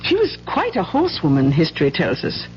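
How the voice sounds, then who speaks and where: A genteel, gossipy teller of ghost legends in a radio drama. Her voice is fairly low and very animated, on a muffled old recording. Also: a little fast, slight background noise. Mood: gossipy, relishing. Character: chatty, genteel guide relishing a ghost legend. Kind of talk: 1970s radio drama acting.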